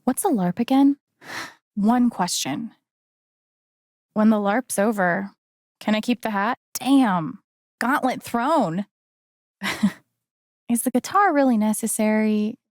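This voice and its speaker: An actress playing a friendly, wry and earnest young woman in a video game, talking in a casual, gently teasing way. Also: a little high, takes it slowly, pauses often, no room sound, studio quality. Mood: playful. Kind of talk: naturalistic video game dialogue acting.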